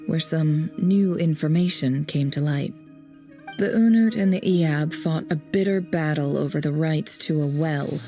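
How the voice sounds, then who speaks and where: Cool brainy game investigator lady, low soft-edged voice, very composed and formal, music underneath. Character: cool, cerebral woman investigator, a desert tribe's survivor. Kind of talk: scripted game voice acting, measured storytelling.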